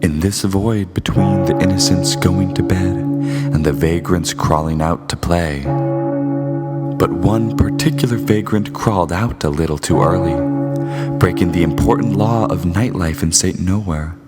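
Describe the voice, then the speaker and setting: A man's voice: low voice, measured pace. A man playing an ominous, theatrical host who narrates a noir radio serial in florid, portentous phrases. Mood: grave, suspenseful. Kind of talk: dramatic old-time radio narration. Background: loud music.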